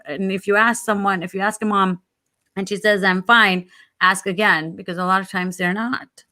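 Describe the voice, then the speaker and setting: A woman's voice: mid-range voice, fairly even intonation, moderate pace, sharp tone. A woman talking conversationally as a talk show guest, giving advice in an earnest, empathetic and emphatic way.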